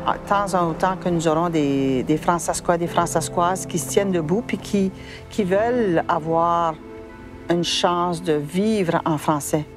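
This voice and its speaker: A woman with a Canadian French accent giving her view in a measured documentary interview. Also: fairly low pitch, music in the background.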